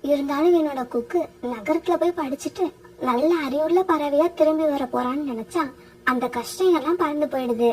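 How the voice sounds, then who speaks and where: A woman's voice: high-pitched, a little fast, a little mellow, close to an everyday voice, poor audio. A woman voicing a devoted, hardworking mother sparrow in a cartoon fairytale. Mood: tender, hopeful. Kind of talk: animated cartoon fairytale dialogue.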